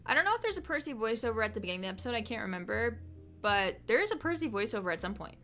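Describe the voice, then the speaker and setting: A woman in her twenties chatting on a livestream, telling excited stories in a casual, rambling way. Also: on the high side, racing, faint music behind. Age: 20s.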